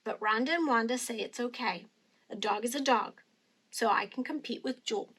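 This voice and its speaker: A woman reads a children's story aloud in a high voice. Her delivery is expressive and teacherly, phrased carefully for young listeners. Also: moderate pace, slightly rough. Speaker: storybook reader.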